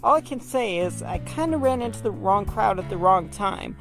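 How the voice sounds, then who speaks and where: A woman's voice: medium pitch, lively intonation, moderate pace, close to an everyday voice. A woman voicing an earnest, stubbornly principled male animal defense attorney in an audio drama. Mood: dismissive. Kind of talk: fan audio drama acting. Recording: music behind the voice.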